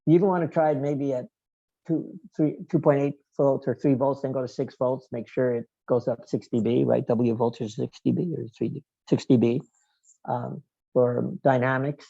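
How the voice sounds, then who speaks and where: A man giving a technical talk in a halting, digressive, explanatory way. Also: medium pitch, steady tone, creaky, warm tone, husky, no room sound, highs cut off. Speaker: guest lecturer, loudspeaker engineer. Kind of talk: technical presentation with question and answer.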